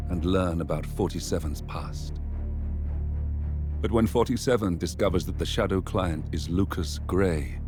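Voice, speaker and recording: Very deep, solemn game narrator. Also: male voice. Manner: deliberate, serious. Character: solemn male narrator recapping a spy saga. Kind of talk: scripted recap narration for a game. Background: music.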